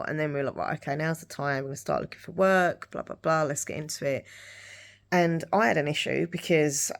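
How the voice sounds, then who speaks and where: A middle-aged woman chatting casually in a rambling vlog style, her voice husky and dry-throated from hay fever. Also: low-pitched. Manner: rambling, repeats herself. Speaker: lifestyle vlogger. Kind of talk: casual rambling chat to camera.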